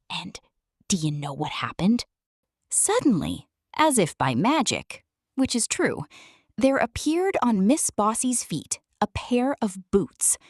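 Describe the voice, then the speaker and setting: A woman reading a children's story aloud, expressive and sing-song. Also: mid-range voice, no echo, studio quality.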